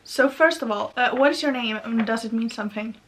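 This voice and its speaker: A woman's voice: fairly high pitch, fairly quick, a bit bright, a little hiss or hum. Chatty Norwegian young woman rambling. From Norway. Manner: chatty, rambling, many fillers. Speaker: vlogger. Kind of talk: rambling casual monologue. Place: her bedroom, indoors.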